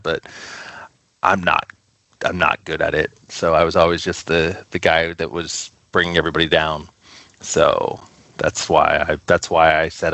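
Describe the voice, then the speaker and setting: A man from the United States chatting casually as an interview guest, halting and unassuming. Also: sits low, a bit bright, no echo. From Buffalo, United States. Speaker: interview guest, record label co-founder. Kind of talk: casual chat.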